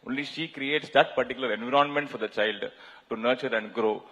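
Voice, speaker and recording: A man's voice: mid-range voice, a little fast, a bit bright, muffled recording. Indian man speaking in a reverberant hall. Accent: Indian English. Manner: hesitant, many fillers and restarts. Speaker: panelist. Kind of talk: panel discussion answer. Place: large conference hall.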